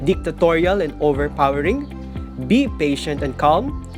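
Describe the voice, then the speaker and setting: A woman's voice: sits low, sing-song, moderate pace. A woman teacher giving scripted, instructional narration for a video lesson. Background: music.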